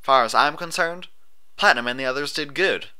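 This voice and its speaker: A man's voice: mid-range voice, a little fast, pushed and bright, clear, resonant tone, voice pushed up. A man voicing a feisty, blunt female farm pony with folksy, defiant talk in an audiobook story. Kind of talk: audiobook character voice.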